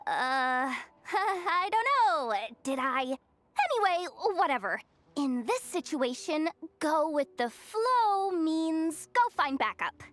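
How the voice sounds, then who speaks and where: A woman's voice: sits high, sing-song, slow, fairly bright tone, soft background music, heavily acted. A woman playing a brash, breezy young adventurer in a video game, talking in a casual, slangy way. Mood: flippant.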